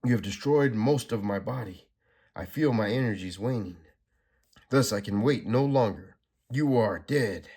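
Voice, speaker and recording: A man's voice: fairly low pitch, a little slow, darkish tone, breathy edge. A man reading a comic aloud, voicing a cold, menacing cosmic villain with pompous, archaic threats.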